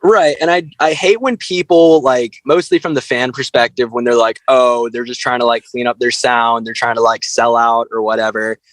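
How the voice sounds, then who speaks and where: A man speaking as an interview guest, chatting casually and haltingly in informal phrases peppered with filler words. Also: mid-range voice, fairly even intonation, fairly bright tone, dry recording. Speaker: interview guest, band frontman.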